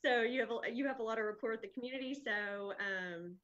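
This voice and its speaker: A woman's voice: fairly high pitch, a little fast, a bit bright, lo-fi sound, a little hiss or hum. A woman interviewing two doctors in a friendly, chatty way, laughing easily between questions. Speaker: chamber of commerce interviewer. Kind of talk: casual video interview.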